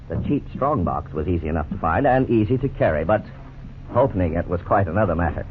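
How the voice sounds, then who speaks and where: A thin, lightly noisy vintage radio recording carries a male actor as a lawyer-narrator. His low voice is earnest and didactic, with measured, scripted authority in 1950s style. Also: narrow, tinny audio, natural voice.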